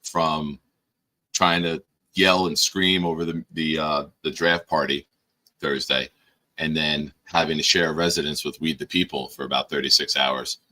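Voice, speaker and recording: A man hosting a casual live-stream show, hoarse, teasing and bossing his guest around with sudden loud bursts. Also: very deep voice, fairly even intonation, normal speed. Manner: teasing, bossy banter with sudden loud outbursts. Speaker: podcast host.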